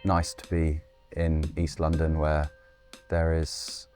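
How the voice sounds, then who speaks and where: A very deep-voiced man speaks in a casual, loose, playful way and swears now and then. Faint guitar music plays behind him. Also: monotone, takes it slowly, darkish tone. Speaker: speaker designer and co-founder. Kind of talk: casual interview talk.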